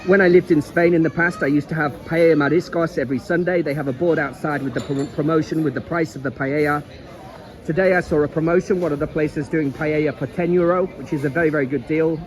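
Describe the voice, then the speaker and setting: A man with a Liverpool accent giving a rambling, chatty travel guide while walking along an outdoor seaside promenade. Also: on the high side. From England. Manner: chatty, rambling, repeats words. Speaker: travel vlogger. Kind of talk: casual walking travel vlog.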